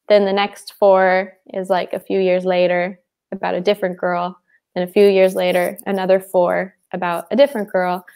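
A young woman, an English teacher, chatting casually on a home video call, relaxed and using lots of filler words. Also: mid-range voice. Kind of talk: casual livestream lesson chat. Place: small room at home, indoors. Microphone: earphone mic on a video call.

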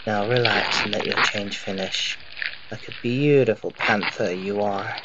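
A man with a lowish voice reads his amateur drama lines slowly and plainly. The home recording sounds dull and muffled, with a steady hiss underneath. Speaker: amateur voice actor.

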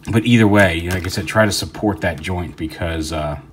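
A man's voice: sits low, brisk, background noise. A man talking casually through a hands-on toy review, rambling with fillers and restarts, recorded indoors at a tabletop.

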